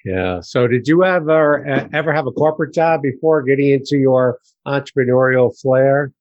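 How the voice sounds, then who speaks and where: A man's voice: medium pitch, moderate pace, no room sound. Guy interviewing someone about business, casual questions, dry but kinda muffled lo-fi sound. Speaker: interviewer, host. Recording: slightly dull, lo-fi audio.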